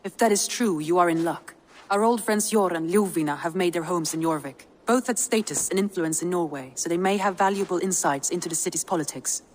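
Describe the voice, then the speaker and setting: An actress plays a shrewd Norse noblewoman advising in a game cutscene. Her voice sits a little low for a woman. She speaks with composure, in measured and formal phrases. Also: a little hiss or hum, natural voice. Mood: businesslike. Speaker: video game character. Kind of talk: dramatic game cutscene acting.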